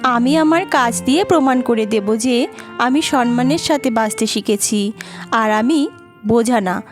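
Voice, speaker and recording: Casting: actress as humble young village wife for cartoon, high voice, courteous, sincere, patient; faint music behind. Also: female voice, unhurried, close to an everyday voice. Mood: earnest, defiant. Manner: polite, earnest, reassuring. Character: patient, earnest young village daughter-in-law seamstress. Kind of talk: cartoon voice acting.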